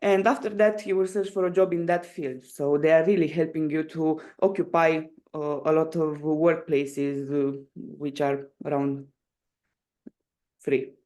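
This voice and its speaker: A woman's voice: deep voice, fairly even intonation, measured pace, darkish tone. A woman in her twenties speaks with a Romanian accent as a podcast guest. Her delivery is casual and conversational, with a hesitant way of building sentences as she looks for the right words in a second language. Her native language is Romanian. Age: 20s. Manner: hesitant, searching for words in non-native English. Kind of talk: casual interview answers.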